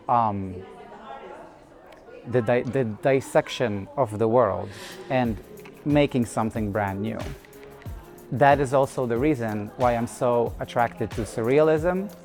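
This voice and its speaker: A man from Israel, an artist speaking thoughtfully and in measured phrases about his own work. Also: medium pitch, a little mellow. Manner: thoughtful, measured, with pauses and restarts. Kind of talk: reflective personal statement.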